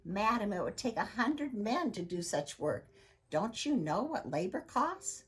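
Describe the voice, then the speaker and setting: A woman's voice: medium pitch, sing-song, measured pace, fairly bright tone, voice pushed up. A woman putting on a pompous, greedy rich man. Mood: incredulous.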